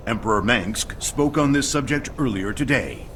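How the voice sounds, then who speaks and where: A man's voice: medium pitch, moderate pace, a bit bright, slight background noise, lightly acted. A man playing a pompous, biased TV news anchor with a slick, sensational and dismissive delivery. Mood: dramatic, dismissive. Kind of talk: theatrical TV newscast delivery.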